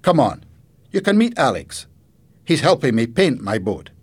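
A man's voice: mid-range voice, very animated, normal speed, breathy edge, voice pushed up. Man acting a kindly, proud old grandfather. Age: older. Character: kindly older Scottish grandfather, proud and a little sad.

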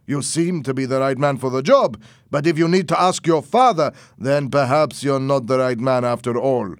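A man's voice: medium pitch, moderate pace, no echo, clean studio sound, voice pushed up, natural voice. A man voicing a pushy foreign gangster businessman with a strong accent and brusque broken English.

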